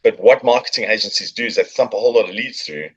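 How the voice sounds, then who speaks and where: South African man, chatty and lowish. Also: fast talker, muffled recording. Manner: chatty, joking, warm storytelling with fillers. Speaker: podcast guest, business owner. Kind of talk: casual interview chat. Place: coworking space.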